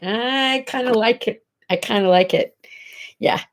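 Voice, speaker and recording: A woman's voice: on the high side, a little slow. A middle-aged woman with a congested, nasal voice, hosting a casual morning livestream chat from her home and chatting directly to viewers. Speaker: host. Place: home bedroom.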